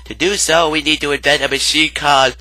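A man's voice: fairly high pitch, fairly even intonation, a little fast, sharp tone, no room sound. A man voicing a self-important boy genius inventor in a video game.

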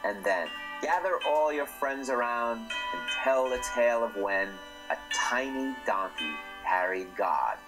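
Slow storybook narrator over guitar. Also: male voice, medium pitch, rough recording. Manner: slow, lilting storyteller with lively swells. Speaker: author reading his picture book. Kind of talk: rhyming storybook read-aloud over music. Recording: played back with guitar music behind.

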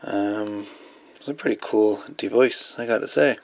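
Low-voiced man rambling through a demo. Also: measured pace. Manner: rambling, thinking aloud. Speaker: hobbyist video host. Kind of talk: casual hands-on electronics demo.

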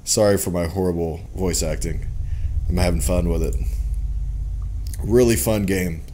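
A man's voice: low voice, measured pace, warm tone, a little hiss or hum. A young man giving casual let's play commentary in a goofy, informal manner. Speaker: gaming video host. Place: indoor room at a gaming setup.

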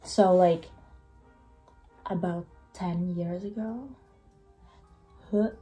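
Young woman w/ a bit of a cold, low-ish mellow voice, slow chatty vlog talk, faint music behind. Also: low-quality recording. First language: Finnish. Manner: chatty, animated, self-deprecating. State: slightly sick, voice lower than usual. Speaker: book vlogger. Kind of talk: casual reading vlog chat. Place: small room indoors.

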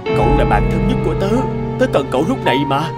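A man's voice: a little high, very animated, fast, loud music behind the voice. A man playing a distraught, pleading best friend in a cartoon drama.

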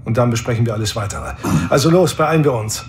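A man's voice: medium pitch, sing-song, quick pace, noisy background, natural voice. A man playing a young starship captain, decisive and friendly. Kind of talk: audio drama acting.

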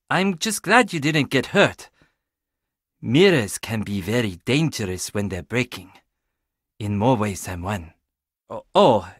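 An actor playing a geeky, awkward, friendly young tech tinkerer in a video game, rambling and self-deprecating. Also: male voice, medium pitch, bright, forceful tone, no echo, clean studio sound, full character voice. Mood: casual, wry. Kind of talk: video game character dialogue.

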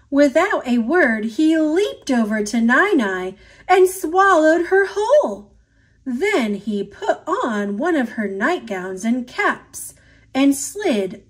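Slow, expressive woman reading a storybook. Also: high-pitched. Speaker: storybook narrator. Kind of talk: expressive read-aloud for children.

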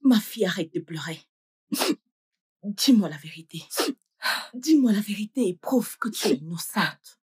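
A woman's voice: medium pitch, lively intonation, unhurried, pauses often, a little mellow, husky. A fierce, scolding mother. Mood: pleading. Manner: scolding, indignant outbursts. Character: fierce protective mother, middle-aged woman. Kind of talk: dubbed film acting.

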